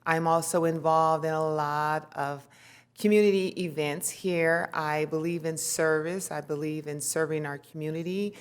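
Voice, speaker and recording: A woman's voice: low-pitched, steady tone, normal speed, clear, resonant tone. A woman giving heartfelt introductory remarks as a commission member at a city council meeting.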